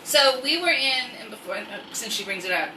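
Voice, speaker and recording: Woman, very bright forceful voice, casual rambling livestream host, echoey room, distant from the mic. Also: on the high side, brisk, slight background noise. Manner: rambling, self-interrupting, animated asides. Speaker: online storytime host and craft seller.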